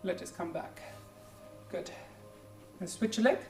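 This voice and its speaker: A yoga instructor in their forties from India, with an Indian accent and English as a second language, guiding a class with gentle, encouraging cues. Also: medium pitch, measured pace. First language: Hindi or Punjabi. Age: 40s. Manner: gentle, encouraging, keeps saying "good", simple repeated cues. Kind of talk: guided yoga class with pep talk. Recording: echoey room, distant mic, faint music.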